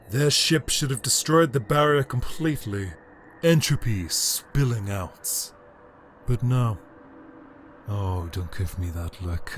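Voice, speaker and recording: A man playing a bitter, megalomaniac ancient emperor without a body, delivering a grandiose, theatrical monologue with dramatic pauses in an audio drama. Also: fairly low pitch. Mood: coolly menacing. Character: bitter, megalomaniac male emperor, ancient disembodied time-travelling being.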